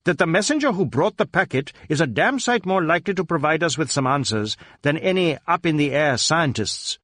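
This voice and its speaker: A man's voice: fairly high pitch, expressive, moderate pace, fairly bright tone, no room sound, studio quality, pitched above the performer's own voice. A brusque police superintendent from a crime story, played by a male reader. He speaks, pouncing on words with scornful, pompous authority. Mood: dry, brisk. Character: brusque, scornful, domineering middle-aged Indian police superintendent.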